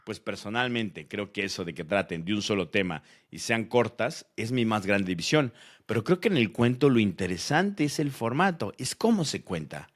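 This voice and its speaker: A man playing an opinionated writer who speaks in a measured way in scripted educational audio fiction. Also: fairly low pitch, lively intonation.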